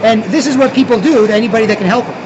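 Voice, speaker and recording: A man's voice: very high voice, very fast, lo-fi sound, noisy background. A Canadian man from Toronto vlogging outdoors, rambling, restarting often and tagging lines with "right?". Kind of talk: rambling street vlog monologue.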